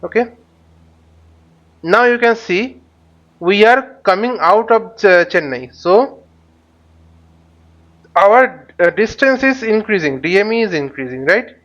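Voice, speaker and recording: A man teaching a flight simulator tutorial, speaking haltingly and often repeating words as he explains. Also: high voice, animated, a bit bright. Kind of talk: spoken tutorial while flying a simulator. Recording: dull lo-fi microphone sound.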